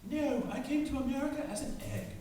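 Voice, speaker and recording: An actor playing a jolly, proper English rooster with an English accent in a stage radio play. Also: male voice, extremely high pitch, fairly even intonation, quick pace, mellow. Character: jolly English rooster, excitable and proper, a talking farm bird. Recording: echoey hall from far off, noisy.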